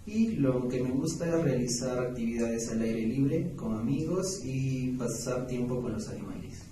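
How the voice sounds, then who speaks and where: A young man of twenty with a southern Peruvian accent presents a podcast from a script. His tone is very mellow. He sounds a little far from the mic, in a strongly reverberant room. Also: medium pitch, animated, measured pace. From Juliaca, Puno, Peru. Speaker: podcast co-host. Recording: echoey room, distant mic, faint noise.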